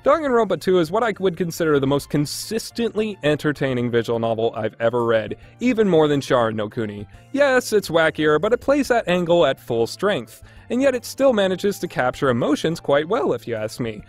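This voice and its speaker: A man's voice: a little high, sing-song, fairly quick, few pauses, soft background music. A man narrating a scripted countdown review as a video game reviewer, informal and opinionated.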